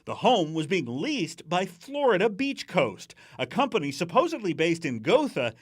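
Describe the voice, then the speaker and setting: A man's voice: high voice, very animated, normal speed, husky. A man reporting an investigative story in a TV news narration style.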